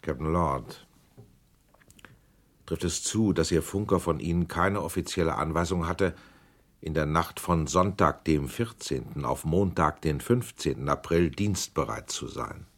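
A pressing, low-voiced male investigator. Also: measured pace, exaggerated voice. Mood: probing. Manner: pressing, formal questioning. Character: probing male committee investigator. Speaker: questioner. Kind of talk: 1980s radio drama acting.

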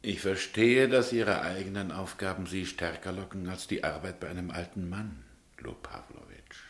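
An actor playing an old, dignified, melancholy governor, kindly yet authoritative, speaking in formal, deliberate radio drama style. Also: male voice, low-pitched, roomy sound. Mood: wistful, courteous. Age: older. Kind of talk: German radio play acting.

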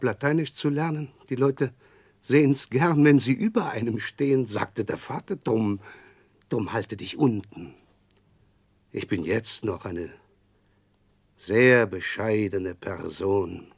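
A slimy humble-acting clerk, sly and spiteful underneath, old radio play, dry studio sound. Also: male voice, lowish voice, slow pace, creaky, breathy edge, dry recording, exaggerated voice. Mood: smug false humility. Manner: obsequious, self-abasing, sly. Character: fawning, falsely humble, scheming clerk with hidden spite. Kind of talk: 1960s German radio drama acting.

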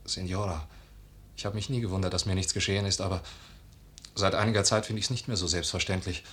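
An actor playing a shy, earnest young farmhand with a husky voice in a German radio play. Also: male voice, deep voice, fairly even intonation, fast talker, fairly bright tone, slight background noise. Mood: confessing, earnest.

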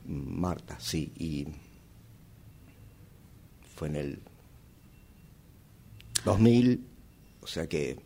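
An actor in a radio theatre exercise playing a nervous, hesitant husband, stammering and repeating himself with an Argentine accent. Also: male voice, very deep voice, expressive, very slow, vocal fry, long pauses, dark tone, slight background noise, heavily acted. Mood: sheepish, confessing. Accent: Argentine, Rioplatense. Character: nervous husband haltingly confessing an old affair. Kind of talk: radio theatre workshop acting.

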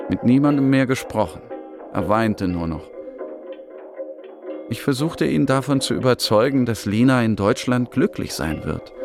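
A man's voice: mid-range voice, vocal fry. A man playing an earnest Syrian puppeteer who narrates his refugee-camp story in a scripted radio drama. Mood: thoughtful, hopeful. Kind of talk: scripted radio-drama narration, German voice-over of an Arabic speaker. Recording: music behind the voice. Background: music.

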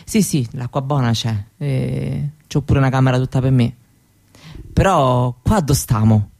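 A woman playing a candid, proud seventeen-year-old Roma girl in a radio monologue, with a Roman Italian accent and halting colloquial talk that often seeks agreement. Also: a bass voice, creaky, warm tone, heavily acted. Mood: chatty, earnest. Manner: colloquial, halting, seeking agreement with little tag questions. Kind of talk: conversational theatrical monologue for radio.

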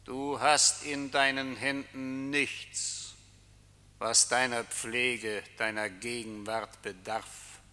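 An actor playing Death as a solemn, insistent coachman in a radio drama, with measured, commanding, declamatory speech. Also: medium pitch, flat delivery, bright, forceful tone. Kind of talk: German radio drama acting. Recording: long reverberant hall.

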